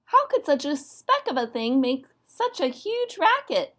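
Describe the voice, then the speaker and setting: A woman voicing a big, grumpy, sleepy male bear with a slow growl in a children's storybook. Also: high-pitched, very animated, fairly bright tone, pitched above the performer's own voice, heavily acted. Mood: incredulous. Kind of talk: children's storybook read-aloud.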